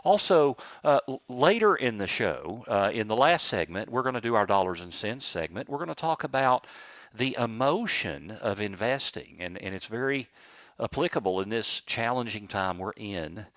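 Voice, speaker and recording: Radio host, man in his fifties, low voice, dry studio sound, easygoing talker. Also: normal speed, no echo, dull audio. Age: 50s. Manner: relaxed conversational, frequent filler words. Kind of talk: radio talk show conversation. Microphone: studio microphone.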